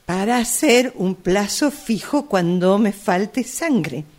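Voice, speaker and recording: A woman with an Argentine accent playing an earnest, stubborn, absurd customer in an amateur radio play, speaking haltingly. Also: medium pitch, lively intonation, a little mellow. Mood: hesitant, earnest. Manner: hesitant, halting delivery.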